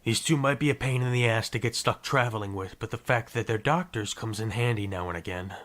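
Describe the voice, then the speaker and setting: A man acting a gruff, sardonic survivor with deadpan delivery in a zombie audio drama. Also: mid-range voice, fairly quick, close to an everyday voice. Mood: dry, sarcastic. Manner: deadpan, sarcastic.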